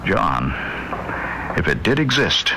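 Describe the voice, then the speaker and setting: A man's voice: deep voice, sing-song, slow pace, exaggerated voice. A man playing a grave, intense scientist given to speculation, theatrical and questioning in old-time radio drama style. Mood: musing, intense. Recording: faintly noisy old radio recording.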